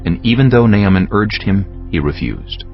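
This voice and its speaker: A man narrating a dramatized scripture audiobook in a solemn, measured storytelling manner. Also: fairly low pitch, expressive. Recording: dull lo-fi sound, faint music bed.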